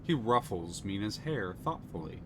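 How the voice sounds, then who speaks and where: A man's voice: on the low side, big pitch swings, moderate pace, background noise. A man narrating a story game aloud on a live stream, reading expressively. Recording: music playing under the voice.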